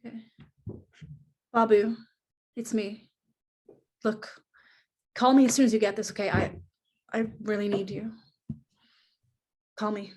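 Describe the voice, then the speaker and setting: A woman playing a nervous, polite waitress who hides her strain, soft-spoken and hesitant, in a rehearsed screenplay read. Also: mid-range voice, fairly even intonation, measured pace, long pauses, a little hiss or hum, close to an everyday voice. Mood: pleading.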